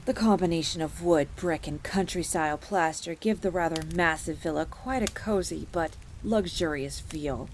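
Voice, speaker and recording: A woman voicing a suave, commanding male vampire master in an audio drama, speaking in a measured, formal, indulgent manner. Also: low voice, voice pushed down. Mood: musing, assured. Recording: faint crackling fire noise behind voice.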